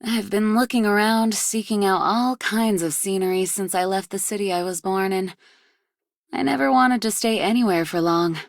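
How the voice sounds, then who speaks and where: An actress playing a restless, reflective drifter with a creaky voice in a game monologue. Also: female voice, medium pitch, fairly even intonation, moderate pace, dry recording, close to an everyday voice. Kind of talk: scripted game character monologue.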